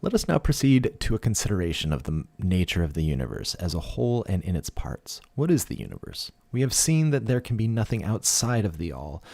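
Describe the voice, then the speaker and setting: Male reader, deep register, dry sound. Expressive, emphatic delivery of dense philosophical prose. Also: a little fast, gravelly, few pauses, dry recording. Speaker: audiobook reader. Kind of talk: expressive read-aloud of a philosophical text.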